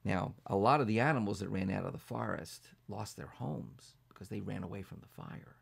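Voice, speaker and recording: A man telling a children's bedtime story as a pastor, building it up dramatically from hushed to emphatic. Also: on the low side, normal speed, vocal fry, breathy edge.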